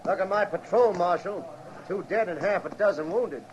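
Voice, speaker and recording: A man's voice: high voice, normal speed, noisy background, natural voice. A man playing a grim, battle-worn frontier cavalry lieutenant with a clipped military manner in a 1950s radio western. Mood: grim, weary. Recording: dull old radio recording.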